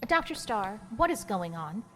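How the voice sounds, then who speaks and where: A woman's voice: medium pitch, big pitch swings, normal speed, sharp tone. Woman playing a stern boss in echoing hall. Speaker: actor. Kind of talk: comedic audio drama acting. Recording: long hall reverb, distant.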